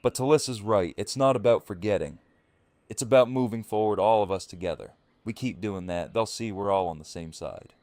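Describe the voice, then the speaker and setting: A man's voice: fairly low pitch, fairly quick, a little mellow, dry recording, natural voice. A man playing a firm, weary survivor leader in an audio drama, speaking earnestly and with command.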